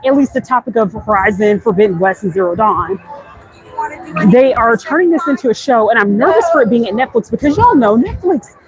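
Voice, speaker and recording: A woman's voice: on the high side, lively intonation, brisk, rough recording. A woman chatting casually and candidly to viewers as she hosts a walking livestream, speaking emphatically and directly. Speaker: content creator hosting a live walk-and-talk stream. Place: outdoors, walking through a busy theme park. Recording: outdoor crowd and ride noise. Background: park ambience, passing ride noise.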